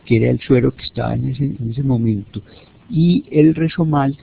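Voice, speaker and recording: A man with a Colombian accent giving a medical lecture by teleconference, explaining step by step in a didactic, halting way. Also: medium pitch, creaky, breathy edge. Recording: narrow, phone-like teleconference audio.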